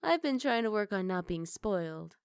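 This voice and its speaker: A young wolf girl, acted by a woman, spoiled yet tender-hearted, pleads her case in an ASMR roleplay. Her mid-range voice sits in a dry, intimate space, with an earnest and gently coaxing delivery. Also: mid-range voice, big pitch swings, moderate pace, vocal fry, clean tone, no echo. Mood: tender, apologetic.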